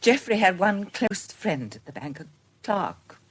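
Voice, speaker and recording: An actress playing a gentle, independent middle-aged British woman in a period radio drama, speaking earnestly and tenderly with careful articulation. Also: medium pitch, very animated, measured pace, husky. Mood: wistful, regretful. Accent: British English.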